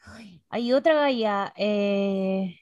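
A young woman with a Chilean accent, co-hosting a casual chat with quick, slangy reactions. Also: mid-range voice, darkish tone, no room sound, lo-fi sound. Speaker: podcast co-host.

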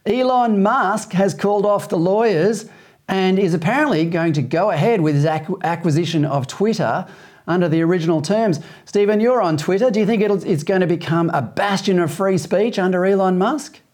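A man's voice: high voice, normal speed, darkish tone. A man with an Australian accent hosting a talk show, chatting with a guest and then reading news commentary. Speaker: host.

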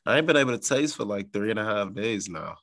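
A man's voice: on the low side, animated, quick pace, a bit bright, no room sound, studio quality. A man with a congested, stuffed-up nose hosting a podcast in casual, profane chat, often laughing. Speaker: podcast host.

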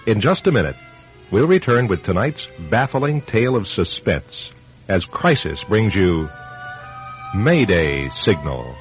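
Suspense radio: ominous narrator, deep voice, dull vintage broadcast, prominent music behind. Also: male voice, big pitch swings, a little slow. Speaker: announcer and narrator.

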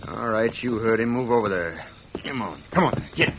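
Gruff cowboy villain, sneering, swooping delivery, vintage radio western, muffled. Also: male voice, lowish voice, lively intonation, normal speed, noisy background. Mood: bossy. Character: rough, sneering western outlaw henchman. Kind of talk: old-time radio western acting.

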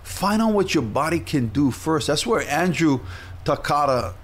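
A man's voice: on the high side, lively intonation, a little slow. A middle-aged man, chatting casually and enthusiastically about training with his coach, rambling with restarts. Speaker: client sharing his experience. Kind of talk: casual chat.

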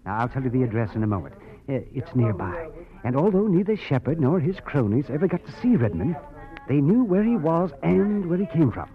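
Orrin Metcalf, jittery high-voiced lawyer. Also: male voice, big pitch swings, a little fast, music in the background. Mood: confiding, anxious. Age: 50s. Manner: jittery, urgent, fussy, formal. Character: small, nervous, fussy fiftyish male lawyer. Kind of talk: 1940s radio acting. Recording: dull, band-limited old radio recording.

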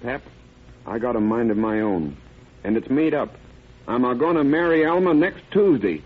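An actor playing an earnest, stubborn young country son with a folksy rural American accent, in old-time radio drama style. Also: male voice, mid-range voice, expressive, normal speed, slight background noise, close to an everyday voice. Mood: defiant. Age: 20s. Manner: plain-spoken, folksy, insistent. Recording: tinny old radio recording.

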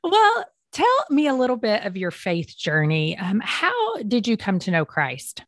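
A woman's voice: medium pitch, wildly swooping pitch, unhurried, no echo, studio quality. A woman hosting an interview who encourages her guest with frequent affirming replies. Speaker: interview host. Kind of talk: casual interview conversation.

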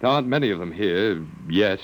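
A man's voice: on the low side, expressive, fairly quick, close to an everyday voice, rough recording. A man playing a sardonic, resigned captive professor in a classic radio drama, musing and hesitating as he talks. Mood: wry, resigned. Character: wry, resigned male anthropology professor held captive by aliens.

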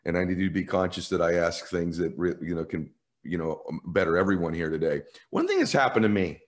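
A man's voice: deep voice, sing-song, fairly quick. A man in his fifties hosting a conversational interview, speaking earnestly and effusively as he reflects personally. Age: 50s. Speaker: interview host.

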